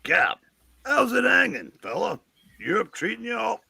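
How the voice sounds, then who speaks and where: A cranky little sidekick played by a man needles and wisecracks in an amateur comic reading. The voice is very sharp and gravelly, and it leaps around in pitch. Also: big pitch swings, normal speed, no room sound, heavily acted. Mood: breezy. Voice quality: raspy, gravelly. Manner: sarcastic, needling. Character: wisecracking, cranky, sarcastic small male sidekick.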